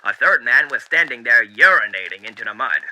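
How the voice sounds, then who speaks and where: Dapper, sinister male radio demon, theatrical storybook reading, piercingly bright, animated pitch, old radio filter. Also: a little hiss or hum, low-quality recording. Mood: ominous relish. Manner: theatrical, deliberate, with hushed dips and swells. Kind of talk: dramatic book reading as old-time radio host.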